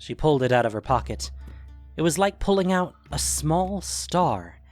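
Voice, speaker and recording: A man's voice: fairly high pitch, big pitch swings, normal speed, a little mellow, no room sound, faint music behind. A man narrating a scripted fantasy story as its storyteller.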